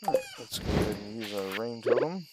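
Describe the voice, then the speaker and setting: A man giving casual commentary on a game he is playing, muttering and thinking aloud in short fragments over loud noise and clicks. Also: medium pitch, sing-song, quick pace. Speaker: gaming video host.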